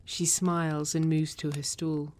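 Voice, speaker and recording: A woman narrating a drama script, reading stage directions in a measured, matter-of-fact way. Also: deep voice, little pitch movement, darkish tone.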